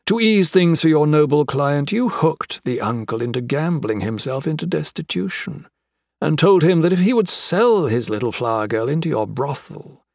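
A man voicing an older gentleman villain in an audiobook, with florid, mock-courteous, taunting speech. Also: fairly high pitch, vocal fry, husky, dry recording, clean studio sound, voice pushed up, heavily acted. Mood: grim accusation. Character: menacing, theatrical old gentleman crime boss, mocking and sentimental. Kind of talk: dramatic audiobook character acting.

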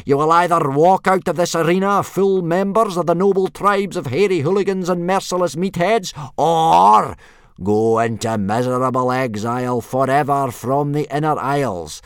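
A man voicing a loud, ill-tempered, pompous Viking warrior instructor barking commands in a children's storybook. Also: on the high side, normal speed, clear, resonant tone, dry recording, studio quality, voice pushed up, lightly acted. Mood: bellowing, menacing. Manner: bellowing, blustering, pompous commands.

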